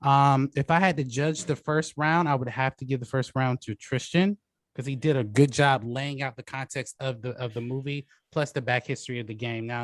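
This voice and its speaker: Man, debate host, mid-pitched, halting delivery, chuckly, casual judging, very dry sound. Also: dry recording. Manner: halting, repeats words, chuckles often. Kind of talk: casual moderating and commentary.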